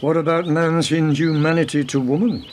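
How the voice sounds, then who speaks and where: A man's voice: a little high, fairly even intonation, normal speed, slight background noise, lightly acted. A man playing a senior police detective, dry and world-weary, asking terse questions in a radio play. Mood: dry, pensive. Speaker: actor in a community radio play. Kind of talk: radio drama dialogue.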